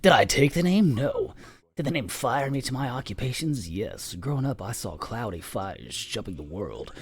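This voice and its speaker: A man's voice: medium pitch, very animated, moderate pace, voice pushed up. A man doing a fervent lightning-rod salesman. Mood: boastful. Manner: fervent, sermonizing, theatrical.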